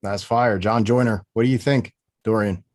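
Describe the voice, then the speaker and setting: A man's voice: on the low side, fairly even intonation, normal speed, over music. Casual man hosting a live review stream. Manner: casual, upbeat, sprinkles fillers. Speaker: host.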